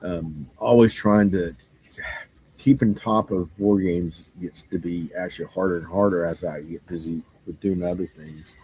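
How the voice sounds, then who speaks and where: A man who runs a game store, talking casually with a hoarse voice he says he is losing, halting and full of fillers. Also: low-pitched, steady tone, warm tone, a little hiss or hum. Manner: halting, many fillers and restarts. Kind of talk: casual monologue.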